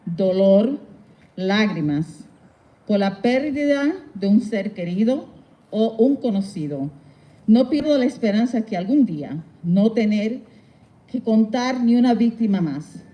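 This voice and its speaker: Female counselor addressing a gathering, heartfelt and direct, warm dark tone. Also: mid-range voice, rough recording. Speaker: crisis counselor, bilingual speaker. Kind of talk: public welcome address. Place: speaking at a public event.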